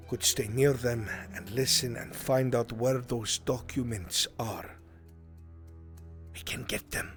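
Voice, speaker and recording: Man acting a slow, grave fantasy conspirator. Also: mid-range voice, a bit bright. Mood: urgent pleading. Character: grave, earnest man pleading for a lost enslaved friend. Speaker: roleplayer in a live VR fantasy roleplay. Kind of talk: in-character improvised fantasy acting.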